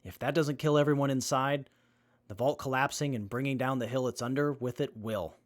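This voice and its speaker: Young male survivor played by a man, earnest, matter-of-fact, medium-pitched, audio drama. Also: steady tone, a little fast, natural voice. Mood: grave explanation. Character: Johnny, earnest, apologetic, knowledgeable young man. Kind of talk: post-apocalyptic audio drama acting.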